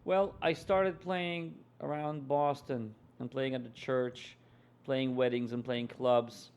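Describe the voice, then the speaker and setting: A man from Ukraine, a guest musician telling stories in a casual interview with a relaxed manner and many fillers. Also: medium pitch, slow pace, smooth, dull audio. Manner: relaxed storytelling with frequent fillers.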